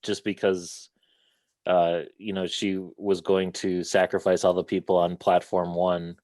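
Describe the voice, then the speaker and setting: A man joining an online fan panel from the audience over a video call, speaking haltingly with many pauses. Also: low-pitched, level tone, a little mellow, no room sound, clean studio sound. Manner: hesitant, halting, many uhs. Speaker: audience member invited to speak.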